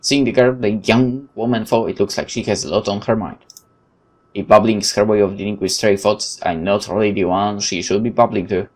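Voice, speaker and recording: A man with a fairly low voice hosts a gaming video. He reads story text aloud and breaks off into informal, animated commentary of his own. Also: brisk. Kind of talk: let's play commentary, reading game text aloud.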